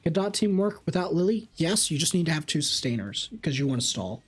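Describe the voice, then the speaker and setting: A man live-streaming a game, reading chat questions aloud and answering them in an animated way. His voice is slightly raspy. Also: on the high side, fairly quick, soft background music.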